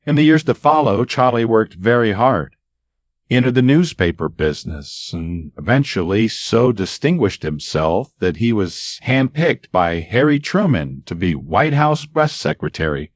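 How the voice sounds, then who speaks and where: A man narrating a scripted anecdote as a storyteller, with dramatic pauses and deliberate, emphatic phrasing. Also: on the low side, big pitch swings, no room sound, studio quality. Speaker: radio-style storyteller.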